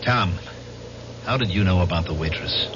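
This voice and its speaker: An American actor playing an insistent veteran confronting old comrades in an old-time radio drama. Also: male voice, sits low, normal speed, lightly acted. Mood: firm. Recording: dull, noisy old radio recording.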